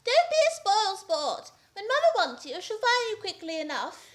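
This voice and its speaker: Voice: very high-pitched, big pitch swings, moderate pace, fairly bright tone, exaggerated voice. A performer playing a chirpy, coaxing young daughter in a comic radio play. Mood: bright, coaxing.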